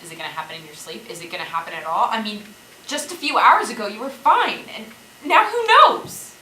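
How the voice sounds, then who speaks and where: A woman's voice: on the high side, lively intonation, a little fast, sharp tone. A woman acting in a stage play as a worried, teasing companion keeping watch among zombies. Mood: probing, uneasy. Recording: echoey stage, clear background noise.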